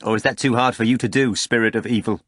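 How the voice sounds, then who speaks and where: A man's voice: medium pitch, fairly even intonation, quick pace, a bit bright, no echo, studio quality, close to an everyday voice. A man voicing a proud, indignant male disciple who speaks earnestly and pleads.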